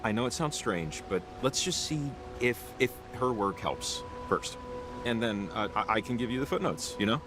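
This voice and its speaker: A man playing an earnest, hesitant radio enthusiast in a video game, speaking naturally in halting, self-interrupting phrases. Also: medium pitch, lively intonation, over music, lightly acted. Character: earnest, hesitant male radio-technology enthusiast, eager to explain.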